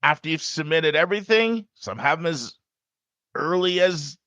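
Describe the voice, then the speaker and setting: A man hosting a podcast, speaking loudly and emphatically in a casual monologue with frequent asides to the listener. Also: on the high side, expressive, moderate pace, dry recording. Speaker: podcast host and college admissions advisor.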